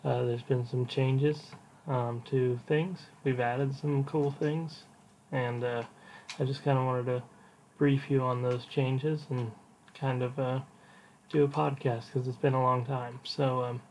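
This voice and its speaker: A male podcast host talks casually and off the cuff on his own, with a halting, informal delivery. His mid-pitched voice is soft-edged and mellow and stays quite even in tone. There is slight noise behind him. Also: low-quality recording. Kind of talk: casual solo podcast update.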